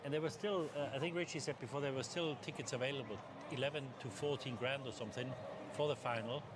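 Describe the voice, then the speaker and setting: A man's voice: medium pitch, quick pace. A man working as a football pundit in a live match discussion. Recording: clear stadium noise behind.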